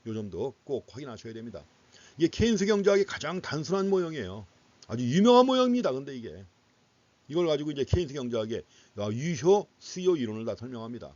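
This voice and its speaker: A man's voice: medium pitch, big pitch swings, fast talker, darkish tone, no room sound. Man lecturing didactically, dull lo-fi audio. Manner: didactic, repeats key phrases for emphasis. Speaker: economics lecturer. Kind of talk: explanatory lecture with rhetorical questions to students.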